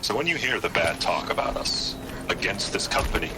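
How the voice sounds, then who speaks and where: A man playing a slick, self-important chief executive in a video game, speaking in a polished, measured, placating way. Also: low voice, expressive, noisy background. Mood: grave, placating.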